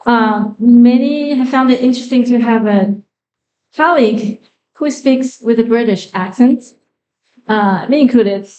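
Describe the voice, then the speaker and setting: Chinese-accented woman introducing musicians onstage, slow halting talk, lots of ums, big echo from far off. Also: a little high, warm tone, lo-fi sound. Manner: halting, with many fillers and self-corrections. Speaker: violinist introducing fellow musicians. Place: concert hall. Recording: echoey hall, voice from a distance.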